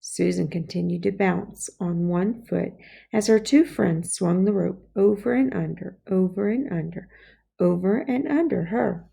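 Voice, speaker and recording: A woman narrates a children's storybook in a slow, deliberate delivery. Her voice is mellow with a noticeable creak. It is read-aloud audiobook narration. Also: mid-range voice. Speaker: storybook reader.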